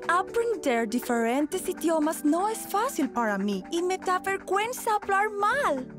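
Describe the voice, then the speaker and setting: A woman voicing a glamorous, playful foreign star model in a cartoon dub, speaking deliberately and haltingly. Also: extremely high pitch, sing-song, over music, heavily acted. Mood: gentle, confiding. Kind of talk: animated series dub in Spanish.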